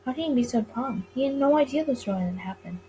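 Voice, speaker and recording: A woman with a fairly high voice reads a story game aloud as a let's player. She delivers the narration dramatically and drops in casual remarks. There is noticeable background noise and hum in the recording. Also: animated, fast. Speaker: let's player reading a visual novel aloud. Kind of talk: dramatic reading of game text with casual asides.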